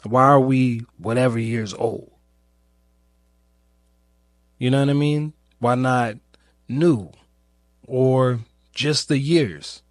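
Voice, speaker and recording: Male podcast host, medium pitch, slow and searching delivery, informal reflective monologue, very dry close sound. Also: no echo. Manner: halting, searching, with long pauses and repeated phrases; informal, rhetorical questioning. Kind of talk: reflective personal monologue about grief.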